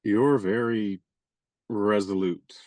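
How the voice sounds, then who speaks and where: An actor playing a chivalrous, genial young gentleman adventurer in a radio play, speaking in courtly, elaborate and playfully pompous phrases. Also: fairly low pitch, fairly even intonation, slow pace, no echo. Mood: persuasive.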